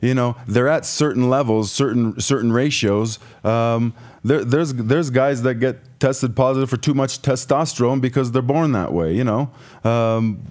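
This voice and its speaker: A man's voice: mid-range voice, normal speed, a little mellow. A man on a sports talk panel arguing casually in a blunt, colloquial, opinionated way.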